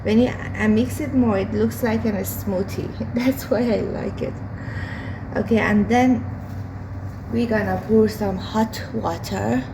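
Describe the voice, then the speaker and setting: A hoarse woman talking through her day in a casual home vlog, slow and hesitant with many fillers as she cooks. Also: mid-range voice, steady tone. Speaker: vlogger. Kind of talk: casual daily vlog while cooking. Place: home kitchen with windows open. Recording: home recording with outside traffic noise. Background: street and vehicle noise from outside.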